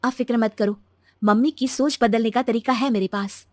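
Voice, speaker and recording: Woman as an earnest, high-voiced young daughter-in-law. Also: steady tone, moderate pace, darkish tone, no echo, clean studio sound, lightly acted. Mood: concerned, determined. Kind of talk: animated moral-story cartoon voice acting.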